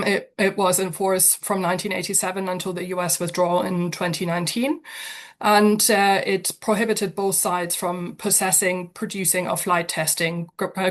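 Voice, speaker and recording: A woman lecturing over a video call, her voice a little croaky from a cold. She speaks in a flat, even tone at a lowish pitch. Her delivery is careful and measured, with the odd restart. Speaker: guest speaker. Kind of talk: online lecture.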